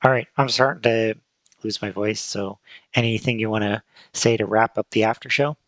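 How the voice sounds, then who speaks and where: A man hosting a podcast in casual chat, speaking thoughtfully and hesitantly with many pauses and fillers. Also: lowish voice, steady tone, a bit bright, no echo. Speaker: podcast host.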